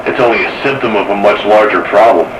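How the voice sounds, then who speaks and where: A man's voice: lowish voice, quick pace. A man chatting about technical matters on CB radio, explaining things in a wry way. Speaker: CB radio operator, electronics hobbyist. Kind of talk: casual technical chat over CB radio. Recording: very noisy, staticky CB radio transmission.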